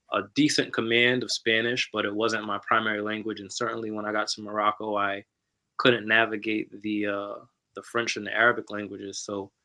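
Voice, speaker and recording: A man author telling his personal story in a casual panel talk, measured and candid. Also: fairly low pitch, sharp tone. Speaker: author guest.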